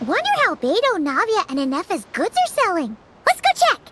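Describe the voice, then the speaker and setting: A woman voicing a tiny, curious, excitable fairy girl with a squeaky childlike voice for a video game. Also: brisk, a little hiss or hum, exaggerated voice. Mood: curious, eager. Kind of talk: animated video game voice acting.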